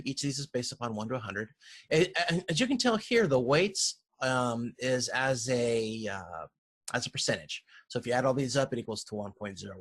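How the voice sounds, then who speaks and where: Husky male lecturer, halting delivery. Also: medium pitch, a bit bright. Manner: halting, self-correcting, explanatory. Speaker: university lecturer. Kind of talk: informal slide lecture.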